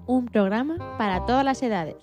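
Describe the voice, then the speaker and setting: A woman's voice: fairly high pitch, moderate pace, a little mellow, soft background music. A woman co-presenting a radio programme, reading out scripted announcements.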